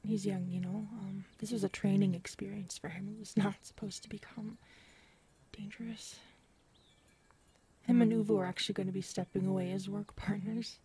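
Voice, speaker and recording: A woman acting in an intimate sci-fi audio roleplay as a gentle, grieving character, speaking softly and haltingly, often close to a whisper. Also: medium pitch, flat delivery, slightly rough. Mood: gentle, awkward. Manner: halting, soft, hesitant, often dropping to a whisper.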